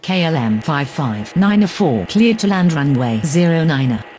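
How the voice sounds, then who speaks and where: A composed aviation voice acted by a performer with lively intonation. It recites procedural radio calls for a simulation game over faint engine noise. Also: medium pitch, measured pace, natural voice. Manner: formulaic, composed. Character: airline pilot and controller, composed, procedural. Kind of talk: scripted aviation radio phraseology.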